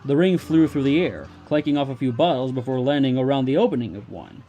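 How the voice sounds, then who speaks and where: A man's voice: medium pitch, animated, quick pace, dark tone, faint music behind. A man reading a scripted story as its narrator.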